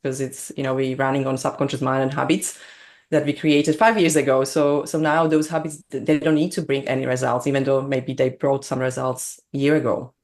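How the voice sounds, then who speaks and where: A woman from Slovakia with a Slovak accent, speaking English as a second language as a guest on an interview, bubbly and quick to laugh. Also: extremely low pitch, little pitch movement, darkish tone. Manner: bubbly, laughs often, non-native grammar. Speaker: business coach, guest. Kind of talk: casual interview chat.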